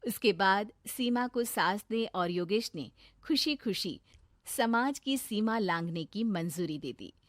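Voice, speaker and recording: A woman's voice: medium pitch, expressive, slow pace, no room sound, clean studio sound. A woman narrating a scripted moral story for children as the storyteller.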